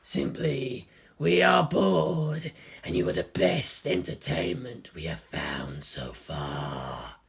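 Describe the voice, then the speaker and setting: A narrator voicing sinister, bored phantom voices inside a boy's head, with a low whispery sound. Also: expressive, takes it slowly, gravelly, husky, exaggerated voice.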